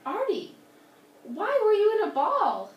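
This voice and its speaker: A woman's voice: very high-pitched, wildly swooping pitch, slow, muffled recording, voice pushed up, exaggerated voice. An earnest camel hero from a storybook folktale, acted out by a woman. The voice swings widely with emotion, exclaiming and pleading. It sounds roomy and a little distant from the mic. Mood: excited, proud.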